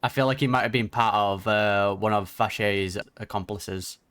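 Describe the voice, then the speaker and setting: A woman's voice: a bass voice, steady tone, normal speed, fairly bright tone, dry recording. A woman giving casual, slangy reaction commentary on a video game as it plays. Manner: informal slangy asides.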